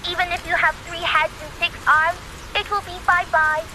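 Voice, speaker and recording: A woman voicing a perky, quirky young reader of omens in a video game, playful, breezy and expressive. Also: very high voice, moderate pace, background noise.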